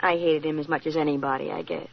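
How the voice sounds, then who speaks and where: A woman's voice: low-pitched, level tone, fast, creaky. An actress playing a cool, sardonic, defiant female secretary in 1940s radio acting style. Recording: muffled old radio recording.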